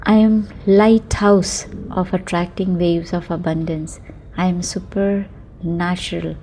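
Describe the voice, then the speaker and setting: A woman guiding a sleep meditation in a soothing, unhurried manner, speaking affirmations in short phrases with long pauses. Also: on the low side, fairly even intonation, warm tone, slight background noise. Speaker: meditation guide.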